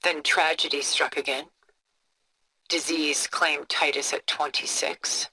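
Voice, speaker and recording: Refined older art collector lady for a game, bright crisp voice, poised and composed, bit of background noise. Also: low-pitched, fairly even intonation, heavily acted, low-quality recording. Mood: reflective, composed. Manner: poised, deliberate, articulate. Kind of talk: scripted video game dialogue, art commentary.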